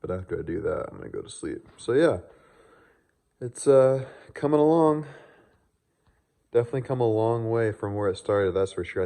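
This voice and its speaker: A man's voice: fairly low pitch, warm tone. A man talking casually and off the cuff as a vlogger, offhand and joking with crude swearing, sounding tired. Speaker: vlogger showing truck upgrades. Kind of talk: casual unscripted walkaround vlog.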